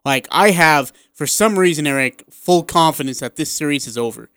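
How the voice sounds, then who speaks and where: A man's voice: a little high, animated, moderate pace, a bit bright, smooth, no echo. A man with an American accent hosting a sports talk radio show, chatting emphatically in a studio.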